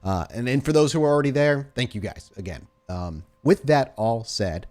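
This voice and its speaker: A man's voice: medium pitch, expressive, normal speed, a little mellow. A man with a mid-range voice works as host and storyteller of a tabletop role-playing podcast. He speaks in an informal, unpolished way, as someone used to guiding listeners through a game. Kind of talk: casual podcast chat, then slow scene narration for a role-playing game.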